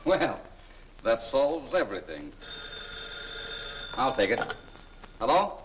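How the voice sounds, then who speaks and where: An actor playing a calm, quick-witted gentleman hero in a 1940s film serial. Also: medium pitch, muffled recording. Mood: composed. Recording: very noisy old film soundtrack.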